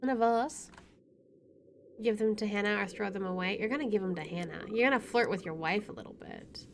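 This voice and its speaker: Casting: female let's-player, mid-pitched, narrating visual novel prose with wry, offhand asides. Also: fast talker, a little hiss or hum. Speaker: video game streamer. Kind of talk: reading game text aloud with casual commentary.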